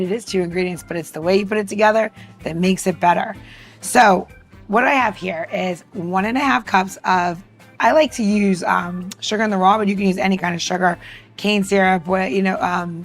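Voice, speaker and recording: Chatty woman explaining a recipe. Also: medium pitch. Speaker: podcast co-host and cocktail maker. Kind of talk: casual chat and demonstration.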